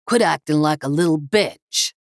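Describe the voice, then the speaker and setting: A man's voice: fairly high pitch, normal speed, gravelly, no echo. A brutal, armed thug barks crude threats in a raised, menacing voice, as a woman reads him. Close, dry audiobook sound. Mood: sneering. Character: brutal male meth cook, armed, short-tempered.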